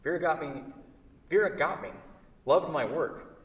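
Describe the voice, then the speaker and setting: A man's voice: fairly high pitch, a little slow, lightly acted. A man in a staged play reading, playing a solitary woodworking artist who is polite, guarded and hesitant. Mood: polite, flustered. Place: theatre stage. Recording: very echoey hall, distant microphone.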